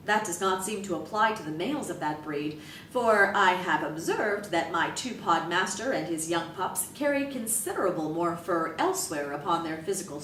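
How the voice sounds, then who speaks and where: A woman gives a measured, deliberate reading of a first-person story. Her voice sits at an ordinary middle pitch and carries a noticeable room echo. It is caught from some distance off the mic, with a faint low rumble underneath. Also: fairly bright tone. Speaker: reader. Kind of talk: reading a novel excerpt aloud.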